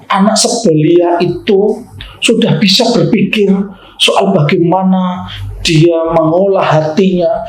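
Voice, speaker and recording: A man with a fairly high voice delivers opinion commentary like an explanatory lecture. He is emphatic and didactic, restating key phrases and asking rhetorical questions. The recording has a clearly roomy, echoing sound.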